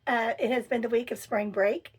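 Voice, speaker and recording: A middle-aged woman with a hoarse voice, chatting casually as she gives a tour of her camper van. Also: on the high side, fairly even intonation. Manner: chatty and enthusiastic, pausing while showing things. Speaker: vlogger. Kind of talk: casual home tour vlog. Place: inside a small camper van.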